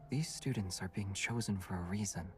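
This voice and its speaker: An actor playing a calm, gentle young companion in a romance game, speaking softly and with measured composure. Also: male voice, deep voice, faint music behind, close to an everyday voice. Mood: calm, thoughtful.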